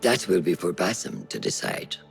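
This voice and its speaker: A man voicing a stern older assassin mentor in a video game, measured and commanding. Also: deep voice, wildly swooping pitch, creaky, slightly rough, heavily acted. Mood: firm, commanding. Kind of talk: video game dialogue acting. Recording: music under the dialogue.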